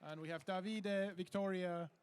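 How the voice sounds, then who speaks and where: A man's voice: high voice, fairly even intonation, moderate pace. A man emceeing a live competition, introducing the competitor and judges in an upbeat way. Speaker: event emcee. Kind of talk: event announcing.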